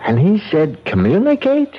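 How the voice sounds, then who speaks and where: An American actor playing a farmer husband in a radio drama, soothing yet sceptical, speaking in a halting, folksy way. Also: male voice, high voice, huge pitch swings, full character voice. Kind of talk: old radio drama acting. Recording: dull, muffled old radio recording.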